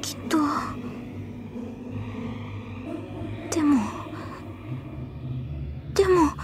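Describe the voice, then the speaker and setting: A woman's voice: very high voice, steady tone, takes it slowly, slight background noise. A woman playing a timid, flustered, polite schoolgirl who stammers hesitantly in an anime audio drama. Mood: anxious, hushed. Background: loud music.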